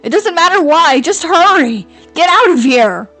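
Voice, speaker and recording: A woman voicing a quiet, lonely schoolgirl who speaks softly and then pleads with urgency. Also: mid-range voice, measured pace, faint music behind, close to an everyday voice. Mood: urgent. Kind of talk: amateur audio drama reading.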